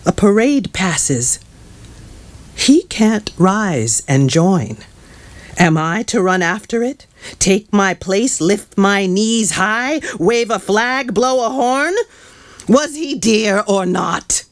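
A man giving a dramatic literary reading, halting and mournful, in short phrases. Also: high-pitched, lively intonation, dry recording. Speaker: author reading from his novel. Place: small crowded bookshop, indoors. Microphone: microphone on a stand.

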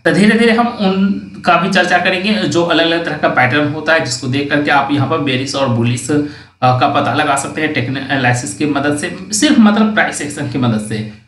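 A man with a slightly high voice walks through market charts one after another, pointing things out and reading price levels aloud. He sounds set back from the microphone in a roomy, echoing space. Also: normal speed, a bit bright. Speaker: stock market analyst. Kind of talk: screen-recorded chart walkthrough. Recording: roomy, distant mic.

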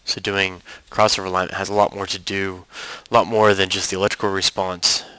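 A man narrating a software tutorial as an instructor, explaining things step by step. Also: low voice, little pitch movement, fairly quick, clear, resonant tone, low-quality recording.

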